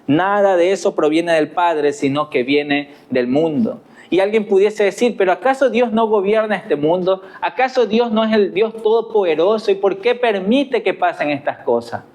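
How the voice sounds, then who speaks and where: A man's voice: sits high, animated, moderate pace, hardly any pauses, darkish tone. A man with an Ecuadorian accent preaching a sermon as pastor, earnest and asking his listeners rhetorical questions. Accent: Ecuadorian Spanish.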